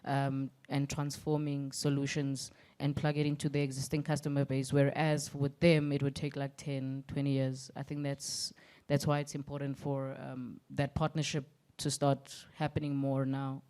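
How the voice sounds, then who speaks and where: Woman entrepreneur, flat earnest panel answer. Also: extremely low pitch, moderate pace. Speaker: panelist, startup founder.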